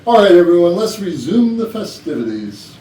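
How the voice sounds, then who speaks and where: An actor playing a self-important, demanding company boss in a comedy play reading, with an authoritative speechifying manner. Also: male voice, high-pitched, sing-song, normal speed, dark tone. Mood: jovial, official. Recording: distant, echoey room with faint noise.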